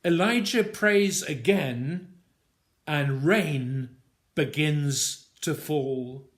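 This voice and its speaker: Very slow, deliberate man reciting poetry. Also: on the high side. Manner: measured, deliberate, short phrases with pauses. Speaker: poet reading own work. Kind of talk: dramatic poetry recitation of a Bible story.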